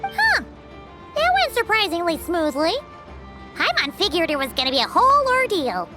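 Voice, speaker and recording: A woman's voice: very high voice, wildly swooping pitch, normal speed, exaggerated voice. A woman voicing a small, perky, childlike fairy companion in a video game. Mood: mildly surprised. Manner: speaks of herself in third person, perky. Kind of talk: video game character acting. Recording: music loud behind the voice.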